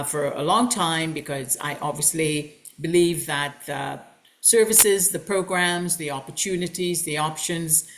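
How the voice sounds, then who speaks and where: An upbeat, welcoming woman hosts an online information session for a college. She speaks over a video call mic and fills her sentences with ums and uhs. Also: sits low. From Trinidad and Tobago. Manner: upbeat and welcoming, with frequent fillers. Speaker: college recruitment representative and host.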